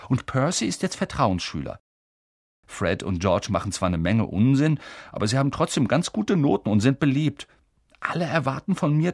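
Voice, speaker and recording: A man's voice: mid-range voice, breathy edge, no room sound, studio quality, pitched above the performer's own voice. A man voicing a curious, shy eleven-year-old boy who talks in eager bursts. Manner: eager, blurting.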